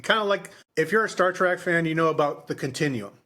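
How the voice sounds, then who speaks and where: A man's voice: on the high side, brisk, bright, forceful tone. Rambling man in casual book chat. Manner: rambling, repeats and restarts phrases. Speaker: podcast co-host.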